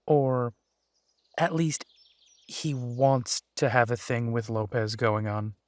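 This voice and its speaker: A man playing a gentle, dry-humored werewolf private eye and younger brother in an immersive roleplay audio drama, speaking softly and wryly. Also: mid-range voice, takes it slowly, dry recording, lightly acted. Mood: thoughtful.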